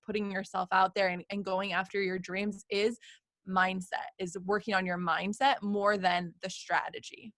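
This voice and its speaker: A woman's voice: medium pitch, fairly bright tone, no room sound, clean studio sound. A woman hosting a podcast as a coach, chatting in an upbeat, encouraging way and often checking in with "right?". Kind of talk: casual interview chat. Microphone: video call.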